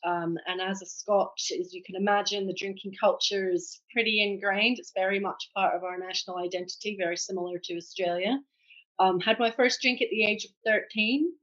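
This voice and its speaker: A woman's voice: mid-range voice, fairly even intonation, a little fast, fairly bright tone, lo-fi sound. A Scottish woman in her forties, an author telling her story candidly and reflectively in a podcast interview. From Scotland. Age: 40s. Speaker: author guest.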